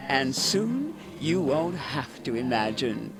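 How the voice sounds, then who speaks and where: Ancient eldritch villain, pompous, taunting, swooping intonation, slow delivery, game dialogue. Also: male voice, mid-range voice, big pitch swings, music in the background. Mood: gloating menace. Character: ancient eldritch horror, male, haughty menacing theatrical villain. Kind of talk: dramatic video game voice acting.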